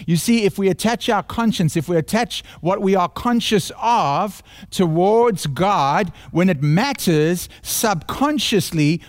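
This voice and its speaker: A man with a South African accent preaching a sermon, earnest and building points through repeated phrases. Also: high-pitched. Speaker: pastor.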